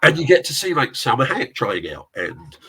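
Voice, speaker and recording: A middle-aged man with a South London English accent tells stories in a loose, rambling way, breaking into laughs and the odd swear word. His voice is husky and slightly breathy, at a middle pitch for a man. It sounds like a casual interview over a video call. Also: sing-song, normal speed, sharp tone. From England. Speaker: interview guest, casting director and actor. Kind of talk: casual chat.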